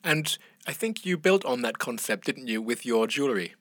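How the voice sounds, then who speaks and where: A man's voice: mid-range voice, animated, normal speed, dry recording, clean studio sound. A man hosting an interview, asking questions and giving short, encouraging replies.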